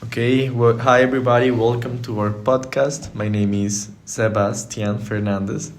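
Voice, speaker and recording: A young man from Colombia with a Colombian Spanish accent, speaking calmly as a guest on a student podcast. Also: mid-range voice, moderate pace. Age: young adult. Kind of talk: casual podcast chat.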